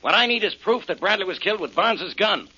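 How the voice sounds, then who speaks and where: A blunt, exasperated police inspector from an old radio crime drama. His voice is piercingly forceful and husky, on the higher side. The recording is dull and aged. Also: animated, fast talker, exaggerated voice. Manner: blunt, grudging. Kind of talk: 1940s radio acting.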